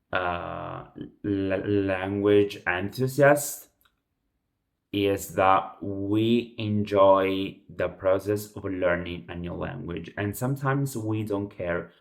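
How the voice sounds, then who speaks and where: Slow, halting Italian-accented man. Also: low voice. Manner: halting, with frequent stammered restarts and repeated words. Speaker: language-learning video host. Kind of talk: unscripted advice talk to viewers.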